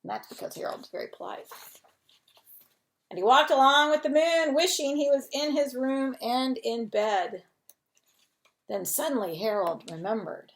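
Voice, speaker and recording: High-voiced woman reading a bedtime story. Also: a little slow, long pauses, a little hiss or hum. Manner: expressive, chatty asides to children. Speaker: storyteller reading a children's picture book. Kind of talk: bedtime story read aloud with asides to young listeners.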